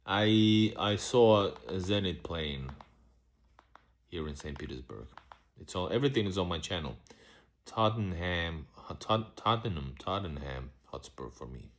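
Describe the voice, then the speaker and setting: Very deep-voiced rambling vlogger man. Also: expressive, measured pace. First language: Russian. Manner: loose and rambling, many restarts and fillers, switches between English and Russian. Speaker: vlogger hosting a live Q&A. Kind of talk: casual live-stream chat answering comments.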